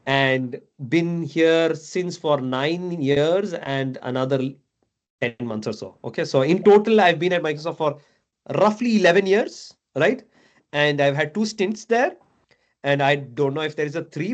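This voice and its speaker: A man's voice: a little high, a little slow, dull audio. A man in his thirties, raised in Dubai, talking casually as a mentor, chatty, with many fillers and tag questions. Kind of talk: casual video call chat.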